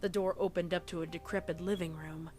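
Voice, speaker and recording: Lower-pitched woman reading a story. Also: fairly even intonation, brisk, darkish tone, faint music behind. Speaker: fan fiction reader and reactor. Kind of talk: storybook narration with casual reaction asides. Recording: faint background noise.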